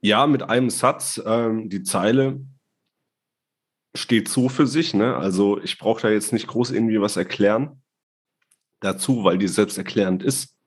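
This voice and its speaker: Man, lowish voice, husky, casual slang, hesitant fillers. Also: unhurried. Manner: laid-back, slangy, many "ähm" hesitations. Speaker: podcast co-host reviewing rap releases. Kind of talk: casual chat.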